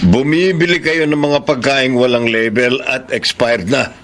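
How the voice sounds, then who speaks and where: A man playing a stern, formal policeman in a radio drama, deliberate and authoritative, with a Filipino accent. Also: mid-range voice, steady tone, fairly bright tone, natural voice. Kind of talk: Tagalog radio drama acting.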